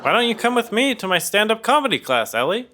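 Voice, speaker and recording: A man's voice: sits high, sing-song, fast talker, sharp tone, a little hiss or hum, exaggerated voice. A man playing a chipper, jokey young aspiring comedian in a podcast sitcom. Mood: upbeat. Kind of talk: sitcom acting.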